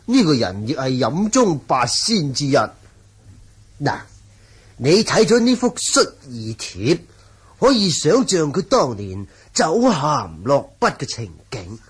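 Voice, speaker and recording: An art-obsessed scholarly manor lord in an old Cantonese radio play, with a Hong Kong accent. He declaims slowly and huskily, savouring words with big swings of intonation. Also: on the high side, husky, full character voice. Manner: theatrical, savouring recitation. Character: bookish, excitable calligraphy-obsessed manor lord. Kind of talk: 1980 Cantonese radio drama acting.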